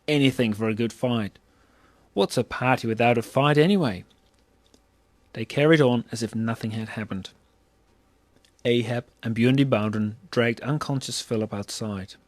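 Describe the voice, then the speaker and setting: Dry-sounding male storyteller, mid-pitched. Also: no echo. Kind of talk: scripted story narration.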